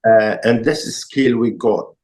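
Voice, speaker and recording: Deep-voiced man with a Moroccan accent. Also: a little slow, darkish tone. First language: Arabic. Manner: hesitant, many fillers and restarted words, non-native phrasing. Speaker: chef and restaurant owner, guest. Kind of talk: casual interview conversation.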